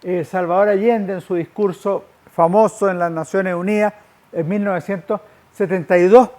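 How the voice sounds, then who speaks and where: A man delivers a public lecture. His manner is didactic and measured. He uses formal phrasing as he explains things to his listeners. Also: high voice, steady tone, a little mellow. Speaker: lecturer, historian. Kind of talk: public lecture on history, with slides.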